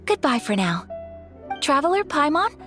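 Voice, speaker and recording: A woman's voice: sits high, sing-song, moderate pace, fairly bright tone, soft background music. A woman voicing a refined, gracious young noblewoman in a video game, speaking politely and formally.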